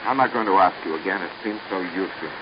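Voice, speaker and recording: An actor playing a jealous, lovesick young suitor in an old-time radio drama, earnest, excitable and given to stammering. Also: male voice, sits low, fast, lightly acted. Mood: lovesick, pleading. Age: young adult. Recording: noisy, muffled old radio recording.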